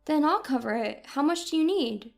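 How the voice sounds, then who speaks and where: A woman acts out a proud, doting grandmother in her seventies for an audio story. The voice is high and animated, with an earnest, direct way of talking and music behind it. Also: normal speed, creaky. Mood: warm, curious. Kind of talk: acted dialogue in an audio story.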